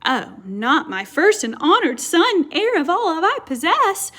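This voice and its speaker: A woman's voice: very high voice, very animated, unhurried, sharp tone, voice pushed up, exaggerated voice. A woman voicing a stern, exasperated mother in a storybook, speaking formally and dramatically. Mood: alarmed. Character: stern, honorable mother of two boys, easily exasperated.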